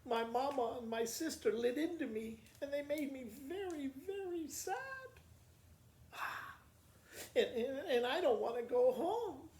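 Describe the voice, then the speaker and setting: A woman's voice: high-pitched, lively intonation, measured pace, darkish tone, distant mic, pitched above the performer's own voice. A woman voicing a sweet, timid, obedient young farm girl in a storybook, hesitant and repeating herself. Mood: sad. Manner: hesitant, repetitive. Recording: roomy echo with faint background noise.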